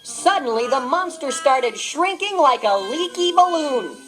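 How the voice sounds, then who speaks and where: A woman narrating a read-along storybook in an expressive, dramatic way. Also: high-pitched, unhurried. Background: background music.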